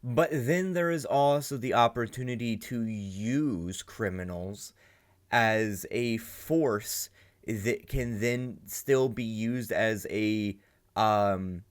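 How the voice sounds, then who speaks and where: Slow, low-voiced man musing aloud. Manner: rambling, thinking aloud with many fillers. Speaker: reaction channel host. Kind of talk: casual reaction commentary.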